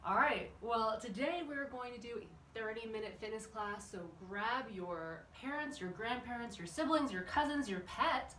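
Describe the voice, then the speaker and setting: A woman's voice: fairly high pitch, expressive, normal speed, hardly any pauses, sharp tone. A woman instructing a children's exercise class in a chatty, encouraging way, putting questions to the viewers as she goes. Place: indoor room with windows open. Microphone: camera at a distance. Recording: echoey room, distant microphone, background noise.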